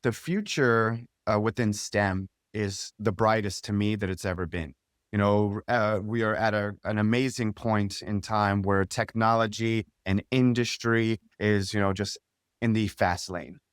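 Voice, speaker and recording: A man's voice: lowish voice, fairly even intonation, a little slow, clean tone, no room sound, studio quality. A man hosting a remote interview, easygoing and reflective, with many "you know" fillers. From United States. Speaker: podcast host.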